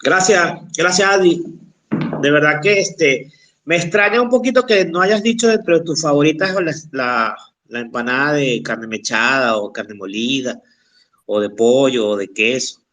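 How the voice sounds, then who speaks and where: A man's voice: on the high side, measured pace, a bit bright, distant mic. A man hosting a podcast, talking casually and hesitantly with many fillers and restarts. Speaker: podcast host.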